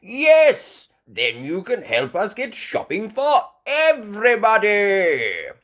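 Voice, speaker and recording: A woman's voice: mid-range voice, huge pitch swings, slow pace, voice pushed up. A woman voicing a jovial old grandfather pig in a storybook, hailing people heartily like a boatman.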